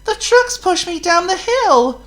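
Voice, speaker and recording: A man voicing an excitable little male tank engine in a storybook reading, with squeaky, breathless cries. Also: a little slow, exaggerated voice. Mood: meek. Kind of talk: storybook character voice.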